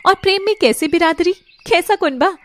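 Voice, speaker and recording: A woman voicing a young lovestruck fairy in an animated story, earnest, defiant and emotional. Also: very high-pitched, a little fast, pitched above the performer's own voice. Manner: emotional, dramatic delivery.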